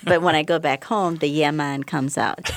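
Female guest from the Bahamas, faint Bahamian accent, low and dry, chatty and talkative. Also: fairly even intonation, normal speed, no echo. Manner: warm, chatty, frequent fillers and laughs. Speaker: ENT surgeon guest. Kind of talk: casual radio interview.

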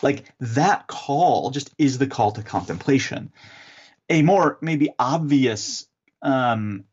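Guy explaining theology like a teacher, measured, a bit muffled lo-fi sound. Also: medium pitch, animated, darkish tone, breathy edge. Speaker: author and theologian guest. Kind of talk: explanatory interview answers.

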